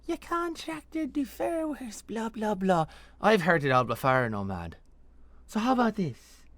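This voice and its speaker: Man as a menacing female mercenary, scornful, sharp-tongued, put-on accent, raised forceful delivery, fantasy audiobook. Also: sits high, very animated, measured pace, no room sound, voice pushed up, full character voice. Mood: coolly threatening. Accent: put-on foreign fantasy accent. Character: ruthless, mocking masked mercenary woman, sharp-tongued and menacing.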